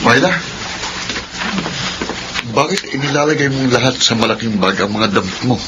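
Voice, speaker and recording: An actor playing a grieving, thoughtful young student son in a Filipino radio drama, earnest in manner. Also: male voice, mid-range voice, animated, moderate pace, natural voice. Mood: concerned. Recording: very noisy radio drama ambience.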